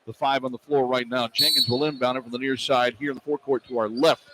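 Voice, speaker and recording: An American man doing excitable, partisan live radio play-by-play of a basketball game as the announcer. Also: medium pitch, fast. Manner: excitable, partisan toward the home team. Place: courtside in a basketball arena. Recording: noisy live arena radio broadcast. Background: crowd and arena noise.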